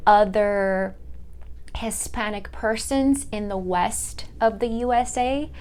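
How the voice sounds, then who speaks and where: A young woman whose first language is Italian, giving a reflective personal monologue for a class, halting often to search for words. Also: mid-range voice, gravelly. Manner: halting, searching for words with many fillers and restarts. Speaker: student.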